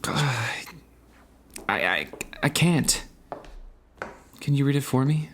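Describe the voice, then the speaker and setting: An actor playing an anguished, driven escape artist in a stage musical, in speech and song. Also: male voice, mid-range voice, expressive, slow, fairly bright tone, slight background noise. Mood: grieving, subdued. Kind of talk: musical theatre singing and dramatic acting. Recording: music under the voice.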